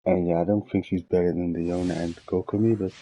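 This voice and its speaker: A man's voice: deep voice, flat delivery, brisk, background noise. A man giving casual, informal gameplay commentary as a streamer, thinking aloud as he plays. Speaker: video game streamer. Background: game music.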